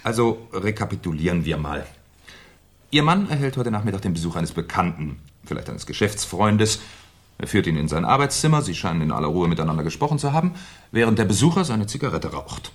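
Deep, husky, shrewd police commissioner. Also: male voice, very animated, a little fast. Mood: musing, reasoning. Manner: musing, hesitant, slyly polite questioning. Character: shrewd, probing male police commissioner, politely ironic. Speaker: detective in a crime drama. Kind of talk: 1970s German radio crime drama acting.

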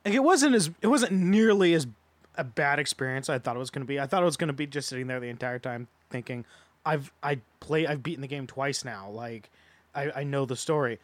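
A man's voice: mid-range voice, brisk, no room sound. A man giving his opinions as a podcast panelist in a casual, conversational review.

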